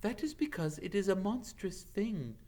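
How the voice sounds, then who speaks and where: A noble centaur, acted out by a man reading a storybook, pitched well up high with sweeping intonation. The speech is formal and measured, grave and earnest. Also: animated, dark tone. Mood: grave, solemn. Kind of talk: dramatic audiobook character reading.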